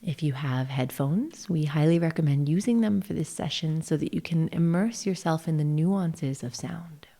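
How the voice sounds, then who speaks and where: Casting: female meditation guide, low and dark-toned, gentle and measured, phrase endings melting into whisper. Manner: soothing, gentle, measured, often trailing into breathy whispers. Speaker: yoga nidra guide, session host.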